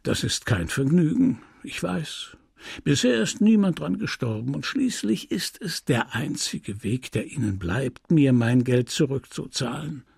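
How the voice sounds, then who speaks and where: A man's voice: mid-range voice, expressive, unhurried, creaky, few pauses, a little mellow, breathy edge, dry recording, studio quality, exaggerated voice. A man voicing a sly, merciless merchant and moneylender in an audiobook novel, with a sarcastic, smug, lecturing manner. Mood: falsely gentle. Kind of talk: dramatised audiobook reading of a literary novel.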